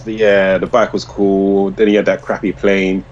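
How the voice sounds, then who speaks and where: A man with a fairly low voice talks casually as a podcast co-host. His delivery is informal and full of slang, with the odd swear word. There is noticeable noise behind him. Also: level tone, normal speed, darkish tone, lo-fi sound. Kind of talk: casual chat about comic book movies.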